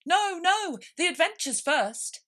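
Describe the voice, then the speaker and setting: A woman's voice: very high voice, animated, takes it slowly, pushed and bright, voice pushed up, heavily acted. A woman voicing a brusque, impatient gryphon in a storybook, bossy and abrupt.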